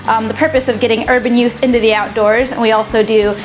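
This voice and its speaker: A young American woman introduces herself to camera in a fairly high voice. Faint music plays behind her. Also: steady tone, quick pace, low-quality recording. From Arkansas, United States. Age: 20s. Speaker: service program intern.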